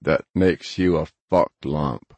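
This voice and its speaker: A man voicing a gruff, sardonic, middle-aged male hacker in an audiobook, with a rumbling, blunt, needling delivery. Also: slow pace, darkish tone, no echo, exaggerated voice.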